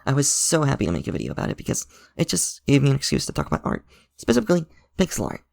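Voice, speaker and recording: A man's voice: medium pitch, huge pitch swings, racing, creaky, a little mellow, a little hiss or hum. A man hosting his own channel, giving a playful, enthusiastic scripted tutorial with a sponsor segment. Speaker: channel host.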